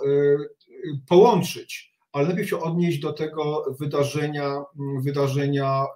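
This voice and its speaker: A middle-aged man speaks as an interview guest over a video call. His voice sits a little high, and he talks haltingly, repeating words as he delivers blunt opinions. The room echoes around him as he sits back from the webcam. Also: a little mellow. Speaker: interview guest, reserve army officer. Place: home study with bookshelves, indoors. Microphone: video call, sitting back from the webcam. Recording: roomy, echoing video call.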